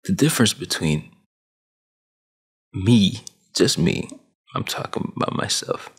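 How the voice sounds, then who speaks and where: Young man, 20s, from Sudan; low husky rasp, lively swings, preachy big-bro rant on a headset mic. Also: slow pace. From Sudan, grew up in Saudi Arabia. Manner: preachy big-brother tone, emphatic, with hand gestures. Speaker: vlogger. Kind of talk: motivational rant to camera. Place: small dim room at home, gaming chair behind. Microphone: headset with boom mic.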